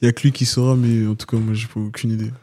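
A young man from Guadeloupe answering interview questions hesitantly, with many pauses. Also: fairly low pitch, flat delivery, darkish tone.

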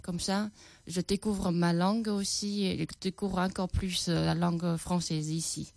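A woman's voice: fairly low pitch, flat delivery, a little slow, vocal fry, darkish tone, dry recording. A Chinese-born woman teacher speaking French with a Chinese accent, hesitant and full of fillers, answering radio interview questions. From China.

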